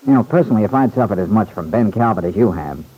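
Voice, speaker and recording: A man's voice: fairly low pitch, lively intonation, fast talker, husky, narrow, tinny audio. An actor playing an earnest, loyal young man home from war in an old-time radio serial. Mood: indignant. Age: young adult. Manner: earnest, colloquial, reflective.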